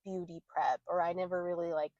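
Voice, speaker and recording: Brief: young female podcast co-host, lowish voice, dry close mic, loose candid rambling. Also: level tone, a little fast, darkish tone, no echo, highs cut off. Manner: rambling, heavy use of "like", candid. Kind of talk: casual chat.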